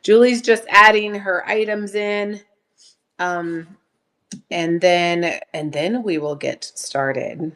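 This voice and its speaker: A slightly hoarse woman hosting a live sale stream, chatting casually, greeting viewers by name and laughing often. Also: lowish voice, steady tone, takes it slowly, a bit bright.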